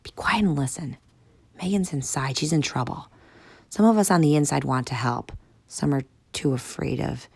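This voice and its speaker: A woman voicing a huge, secretive male guard who talks in a deep, throaty, hushed whisper. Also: gravelly, husky, no room sound, exaggerated voice. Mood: hushed urgent. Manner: hushed and hurried. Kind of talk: audiobook character voice.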